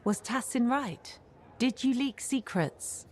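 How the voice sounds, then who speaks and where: A woman's voice: a little high, lively intonation, slow pace. An actress playing a direct, devoted woman in a video game cutscene. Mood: earnest.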